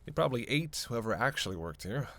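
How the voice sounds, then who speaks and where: A man playing a snarky, self-serving male vampire who teases the listener in a casual, sarcastic roleplay audio drama, with a creaky voice. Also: medium pitch, very animated, fast, a bit bright, dry recording. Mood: wry explaining. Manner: casual, sarcastic, teasing, cursing.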